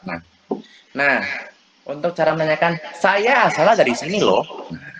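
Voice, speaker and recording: A young man teaching French on a video call, drilling pronunciation slowly and repeating phrases. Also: fairly high pitch, big pitch swings, a crowd in the background. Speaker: French tutor. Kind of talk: online French lesson.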